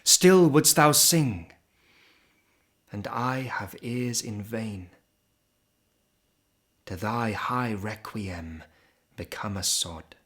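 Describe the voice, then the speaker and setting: Slow, solemn man reciting poetry. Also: lowish voice. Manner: solemn, expressive, measured phrasing with pauses. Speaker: poetry reader.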